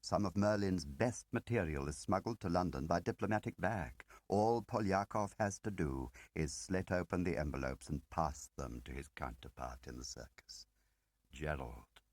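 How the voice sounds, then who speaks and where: A man reading the part of an ageing spymaster in an audiobook, deliberate and probing, pausing between phrases and pressing with questions. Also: sits low, a little mellow, dry recording. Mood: measured, grave. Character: ageing male spymaster, measured, probing, quietly relentless. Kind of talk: dramatic audiobook character reading.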